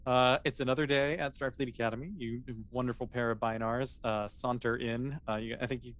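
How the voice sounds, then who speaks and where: A man casually improvising narration as the game master of a tabletop role-playing game, with conversational, halting phrasing. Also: medium pitch, fairly even intonation, fairly bright tone. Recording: clear background noise.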